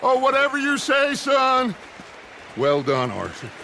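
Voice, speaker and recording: Western game gang boss: American, theatrical, forceful and bright, with faint noise behind. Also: male voice, very high-pitched, huge pitch swings, measured pace, gravelly, heavily acted. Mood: mocking, grand. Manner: theatrical, oratorical, commanding. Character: commanding middle-aged outlaw gang leader, theatrical and vengeful. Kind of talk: dramatic video game acting.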